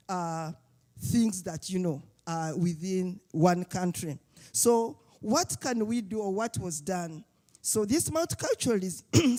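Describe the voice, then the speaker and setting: A speaker from Uganda, giving a halting panel talk with many fillers and restarts. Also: medium pitch, darkish tone. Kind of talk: summit panel speech.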